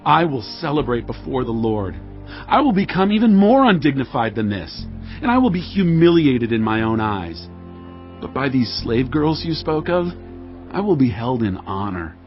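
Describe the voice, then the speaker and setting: A man's voice: medium pitch, sing-song, moderate pace, natural voice. An actor playing a devout, fervent king of Israel in dramatized scripture, impassioned and declamatory, with music behind. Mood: defiant, fervent. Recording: muffled, band-limited audio.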